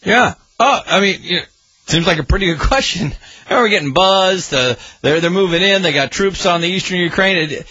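A man hosting political talk radio, sarcastic and animated, his pitch leaping around as he mocks. His voice is bright and a little husky, recorded dry and slightly dull. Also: moderate pace, no echo. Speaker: guest radio talk host.

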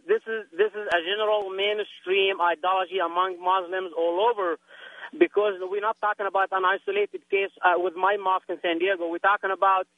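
High-voiced caller over a phone line. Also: male voice. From Palestine. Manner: earnest, insistent, repeats himself. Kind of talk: phone-in call. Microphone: telephone.

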